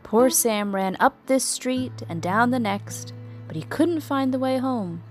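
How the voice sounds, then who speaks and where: A woman narrating a scripted children's story in an expressive, dramatic storytelling manner. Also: fairly high pitch, unhurried, faint music behind.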